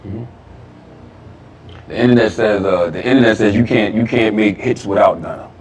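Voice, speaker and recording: Low-voiced interviewer heard from a distance. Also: male voice, moderate pace. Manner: frequent murmured backchannels. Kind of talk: interview questions. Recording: distant mic with faint room noise.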